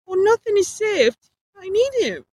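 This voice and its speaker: A woman reading aloud as a panicked female doctor who speaks high, breathless and near tears. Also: sing-song, unhurried, warm tone, no echo, exaggerated voice. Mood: pleading.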